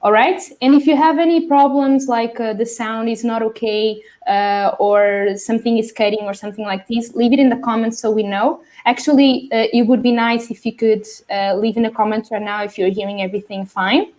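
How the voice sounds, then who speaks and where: A woman's voice: a little high, steady tone, brisk, hardly any pauses, a little mellow. A woman hosting a live online webinar, chatty, greeting viewers and reading out messages from the chat. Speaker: webinar host.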